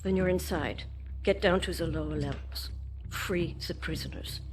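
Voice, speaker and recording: An actress playing a steely resistance leader with a German accent in a video game, measured and authoritative. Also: female voice, on the low side, steady tone, a little mellow, slight background noise, natural voice. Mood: grave, commanding. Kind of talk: video game cutscene acting.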